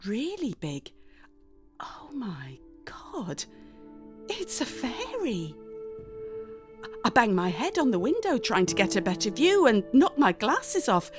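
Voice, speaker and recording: A woman's voice: on the high side, wildly swooping pitch, slow, pauses often, faint music behind. A woman reading a dramatic first-person story expressively and conversationally, in character as a teenage girl. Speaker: audiobook narrator.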